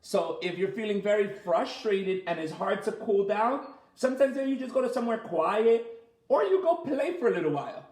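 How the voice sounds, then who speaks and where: A man leading a children's story time as a teacher, reading aloud and asking the listeners simple questions in an animated way. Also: sits high, moderate pace. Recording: roomy, echoey sound.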